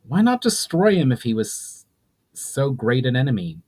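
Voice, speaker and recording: A man's voice: medium pitch, very animated, moderate pace, dark tone, husky. A man voicing an earnest male adventurer in a storybook reading, asking formal questions with urgency. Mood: urgent, curious. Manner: urgent, inquisitive, formal speech.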